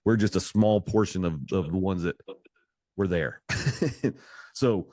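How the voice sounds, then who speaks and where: A man's voice: deep voice, slow pace, darkish tone, no room sound. A man hosting his podcast, chatting casually and rambling with upbeat hype. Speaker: podcast host. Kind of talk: casual video-call podcast chat.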